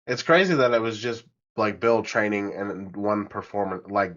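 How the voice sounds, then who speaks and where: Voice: low voice, normal speed, highs cut off. A podcast host who asks questions and backs up the guest with short "mm-hmm"s. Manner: brief acknowledgments, laughs along. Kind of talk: interview questions.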